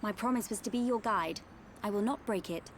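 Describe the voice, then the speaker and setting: A woman playing a courteous, poised young noblewoman in a video game, speaking in a formal, measured way. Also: medium pitch, slight background noise, natural voice. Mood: composed. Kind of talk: video game cutscene acting.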